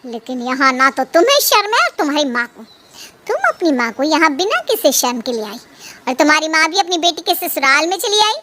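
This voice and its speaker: A woman voicing a scheming, mocking elder daughter-in-law in an animated family story. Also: high-pitched, fairly quick, slight background noise, full character voice. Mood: scornful. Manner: taunting and sneering.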